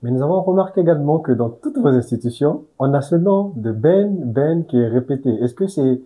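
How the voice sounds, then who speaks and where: Host: man, rapid polite questioning, warm dark tone. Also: a little high, expressive, rough recording. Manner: polite and formal, frequent murmured acknowledgements. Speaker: interview host. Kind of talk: formal interview questions.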